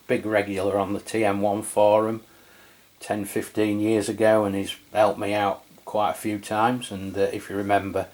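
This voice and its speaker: Slow, low-voiced English man. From England. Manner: hesitant, halting, conversational. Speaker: radio review channel host. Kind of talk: casual product review commentary.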